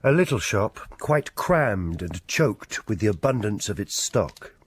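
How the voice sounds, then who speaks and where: An actor playing a sardonic storybook narrator in a radio drama, with a husky voice and dramatic delivery. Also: male voice, on the low side, very animated, measured pace, full character voice. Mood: ominous, wry.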